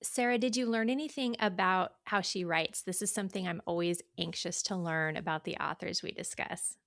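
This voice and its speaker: A woman's voice: on the low side, normal speed, fairly bright tone, dry recording, studio quality. A woman co-hosting a podcast, chatting about books in a casual, reflective, conversational way. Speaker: podcast co-host. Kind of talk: casual book discussion.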